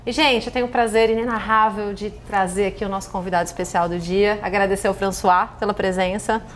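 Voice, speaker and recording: A woman's voice: medium pitch, steady tone, fairly quick, slight background noise. A woman with a Brazilian accent hosting a casual podcast, chatting informally in a playful, teasing way. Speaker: podcast host.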